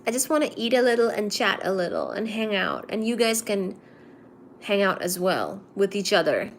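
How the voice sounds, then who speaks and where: A young woman from India hosting a casual livestream, chatting with viewers and reading their comments aloud in a relaxed, low-energy mood. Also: normal speed, a little hiss or hum. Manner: chatty, teasing, reads chat aloud and answers it. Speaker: livestream host. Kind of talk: casual live-stream chat with viewers.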